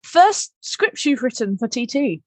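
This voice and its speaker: A woman's voice: fairly high pitch, sing-song, a little slow, dry recording. A woman hosting a podcast, chatting casually and prompting the guests. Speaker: podcast host and producer.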